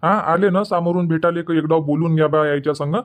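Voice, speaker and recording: A man's voice: fairly high pitch, steady tone, quick pace, lightly acted. A man playing plain-spoken village orange farmers in a cartoon comedy, chatting colloquially with a Varhadi Marathi accent from Vidarbha. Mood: agreeable. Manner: colloquial, repetitive rustic chatter. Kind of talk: dubbed cartoon comedy dialogue. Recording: dull lo-fi audio.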